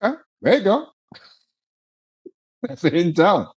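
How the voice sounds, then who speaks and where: A man's voice: high-pitched, big pitch swings, normal speed, slightly rough. A man hosting a podcast interview, enthusiastic in a casual way, often stammering and repeating his words. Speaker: podcast host.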